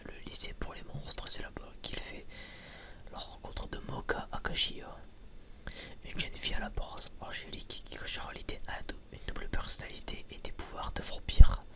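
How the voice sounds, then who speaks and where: A man's voice: moderate pace, a bit bright. A man whispering a casual, rambling ASMR chat, informal and sweary, hesitant and often stammering over repeated words. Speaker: ASMR video creator.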